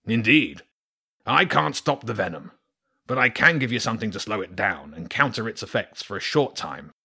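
A man voicing a huge, grumpy, lazy old badger king in a storybook reading, speaking slowly and gruffly with a husky voice. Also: fairly low pitch, animated, bright, forceful tone, heavily acted. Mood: grave. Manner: slow, grumbling, gruff.

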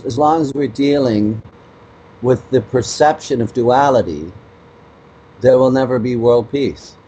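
A man's voice: mid-range voice, dark tone. A man speaking as an interview guest, explaining at length in an emphatic, repetitive way, full of rhetorical questions. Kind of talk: spiritual discussion, explaining at length. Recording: noisy mobile internet connection.

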